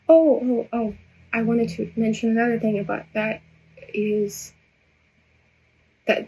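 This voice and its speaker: A woman whose native language is Russian rambles through an unscripted video message, halting and repeating herself as she loses her thread. Her voice is fairly high yet gravelly with fry, and has a husky, rough edge. It sounds like a phone camera held close. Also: a little mellow, poor audio. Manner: rambling, halting, many restarts and stammered repeats. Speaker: vlogger addressing viewers. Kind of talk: unscripted personal monologue.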